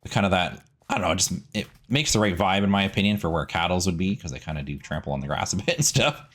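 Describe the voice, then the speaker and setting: A man's voice: deep voice, animated, fast, a bit bright. A man hosting a gaming series, giving chatty, rambling, easygoing casual commentary as he plays.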